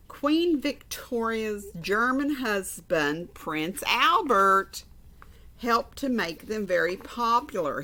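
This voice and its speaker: Slow-paced woman reading aloud, bright voice. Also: medium pitch. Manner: conversational, repeats phrases for emphasis. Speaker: storybook reader. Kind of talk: informal read-aloud to children with chatty asides.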